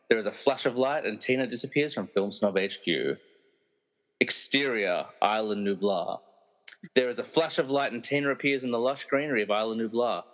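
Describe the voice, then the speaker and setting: Male radio-play narrator, medium pitch, dramatic and wry, heard in a big echo. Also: fairly bright tone. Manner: dramatic storyteller, wry asides.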